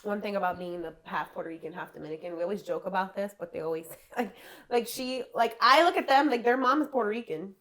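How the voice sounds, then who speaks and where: This is a young adult woman co-hosting a casual podcast chat. She talks at a brisk clip, chatty and quick to laugh between stories, with a voice neither high nor low. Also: fairly bright tone, low-quality recording. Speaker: podcast co-host.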